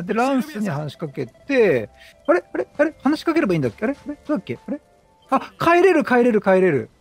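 A man doing chatty game commentary, animated, talking to himself and to the characters on screen. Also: sits high, breathy edge. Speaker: gameplay commentator.

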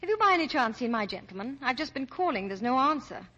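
An actress playing a refined, emotional young woman in love, breathy-voiced, in a 1940s radio melodrama. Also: sits high, sing-song, quick pace, sharp tone, exaggerated voice. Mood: bright. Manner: emotional, genteel. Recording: dull old 1940s radio recording.

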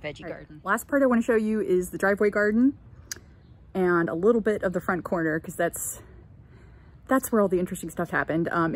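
A woman in her thirties giving a casual, chatty garden tour, recorded outdoors on a handheld phone. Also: lowish voice. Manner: chatty, cheerful asides. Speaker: gardening vlogger. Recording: outdoor ambient noise.

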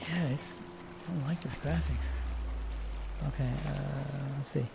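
Gravelly-voiced woman rambling through a stream. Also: rumbling low, steady tone, slow, heavy background noise. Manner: rambling, hesitant, lots of restarts. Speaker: game streamer. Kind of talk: casual live-stream commentary. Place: room at home, seated in a VR headset.

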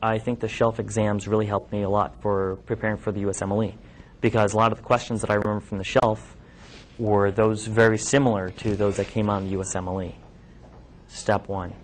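A man's voice: on the low side, flat delivery, brisk. A man in his thirties from New York, a physician giving an informal talk to medical students in a classroom, speaking conversationally with many ums and restarts. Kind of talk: informal talk with questions from the audience. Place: classroom lab, indoors.

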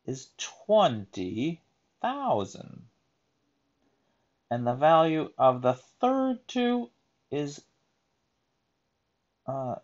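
Slow, animated man reading aloud to children. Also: medium pitch, dull audio. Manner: deliberate, emphatic, explaining to young learners. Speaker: read-aloud teacher. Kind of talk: children's picture book read-aloud with explanatory asides.

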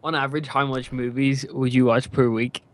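A man's voice: medium pitch, flat delivery, a little fast. A man chatting and teasing his friends while they play a game. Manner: teasing, joking. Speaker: game player. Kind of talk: casual gaming chat.

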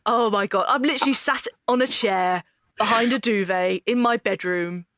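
A woman with a mid-pitched voice, recorded very dry and close. She chats informally and jokingly, hosting a relaxed behind-the-scenes discussion. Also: moderate pace, no room sound. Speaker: writer, actress and discussion host. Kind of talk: casual behind-the-scenes chat. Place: bedroom, behind a duvet.

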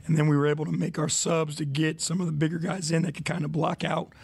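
Gravelly coach at a press desk. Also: male voice, a little high, level tone, darkish tone. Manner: measured, many fillers, reflective. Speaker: head coach. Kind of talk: postgame press conference. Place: arena press room. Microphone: desk mic.

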